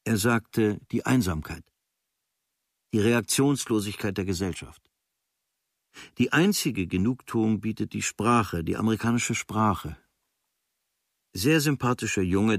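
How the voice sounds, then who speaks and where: A man playing an observant writer, reading his travel diary as a radio drama in measured, clipped literary phrases. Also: lowish voice, no echo, studio quality.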